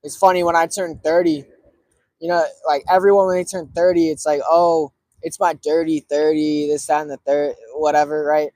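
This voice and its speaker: A man in his early thirties talking casually to viewers, relaxed and rambling with lots of fillers. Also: fairly high pitch, moderate pace, no room sound. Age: 30s. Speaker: cigar streamer.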